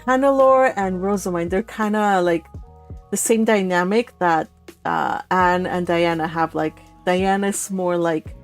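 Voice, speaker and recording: A woman's voice: lowish voice, measured pace, faint music behind. A woman chatting casually and giggly as host of a book club read-along. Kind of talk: casual chat.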